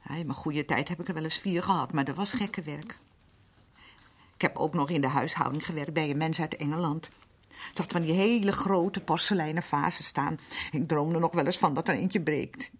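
Older working class mum in a radio drama, husky, kinda low, rambling and plaintive, confiding. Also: female voice, moderate pace. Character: worn-down, chatty older working-class housewife and mother. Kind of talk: naturalistic Dutch radio drama acting.

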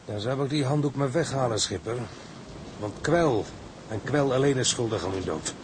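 An actor playing a loyal, steady boatswain in a radio drama. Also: male voice, moderate pace, natural voice. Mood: consoling, bitter. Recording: noisy recording with low rumble.

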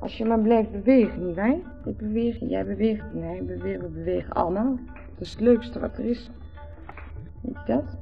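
A giggly, slurring Dutch wife played by a woman rambles flirtatiously and repeats herself, tipsy at a party. Her voice has a creaky edge. It is a 1970s radio play with a dull sound and faint music behind her. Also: medium pitch, moderate pace, lightly acted. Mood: giddy, searching. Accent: Netherlands Dutch. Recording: dull vintage radio recording.

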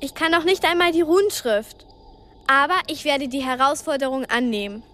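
A woman's voice: sits high, moderate pace, a bit bright. A woman playing a brave, earnest twelve-year-old girl in a radio play, frightened but resolute.

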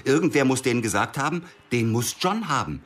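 A man's voice: mid-range voice, very animated, fairly quick, a bit bright. An actor playing an urgent, distrustful interrogator in a radio drama, protective underneath as he pushes with short questions. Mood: urgent, reproachful. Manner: pressing short questions, drops to whispers. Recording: music behind the voice.

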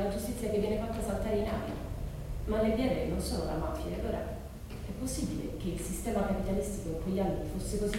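A woman's voice: mid-range voice, lightly acted. Echoey, distant young schoolgirl played by a woman. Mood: indignant. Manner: colloquial, incredulous, self-questioning. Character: curious, outspoken teenage schoolgirl researching a past crime. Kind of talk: dramatic monologue reading. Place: large reverberant room, distant mic. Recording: echoey hall from afar, noisy.

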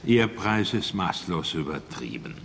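Gloomy snobby russian art lover, middle aged, gravelly, swoops up and down, slight background sound. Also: male voice, deep voice, expressive, a bit bright, slight background noise, heavily acted. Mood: disdainful. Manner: slow, theatrical, disdainful. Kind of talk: German radio crime drama dialogue.

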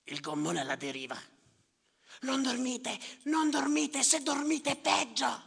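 An actor playing a bitter, sardonic storyteller of sea tragedies in a theatrical monologue, declamatory and repetitive, with a gravelly voice. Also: male voice, very high-pitched, lively intonation, unhurried, exaggerated voice. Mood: ominous, intense. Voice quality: gravelly, creaky. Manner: declamatory, repetitive, swinging from muttering to shouting. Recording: reverberant theatre with live music behind. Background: live music.

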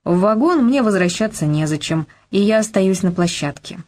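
A woman narrating an audiobook in a measured, expressive reading that lifts in pitch on questions. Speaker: audiobook reader. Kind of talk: scripted literary narration.

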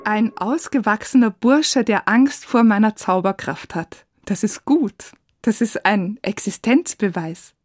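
A woman's voice: mid-range voice, unhurried, no room sound. A woman reading literary first-person narration for a radio feature, recorded as a close voice-over. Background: music.

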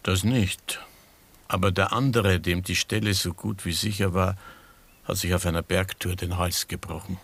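An actor playing a proud, principled doctor in a German radio drama, speaking with formal, dry politeness. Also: male voice, low voice, little pitch movement, moderate pace, creaky, fairly bright tone, husky, heavily acted.

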